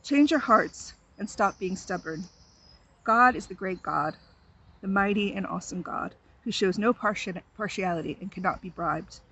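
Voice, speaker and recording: A speaker gives an earnest introduction, then reads a scripture passage aloud with steady emphasis. A faint hiss sits behind the voice. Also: medium pitch, moderate pace, low-quality recording. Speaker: scripture reader at a service.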